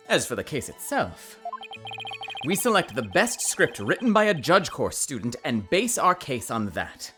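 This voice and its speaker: A woman voicing a pompous older male school headmaster in a theatrical, condescending manner. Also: fairly low pitch.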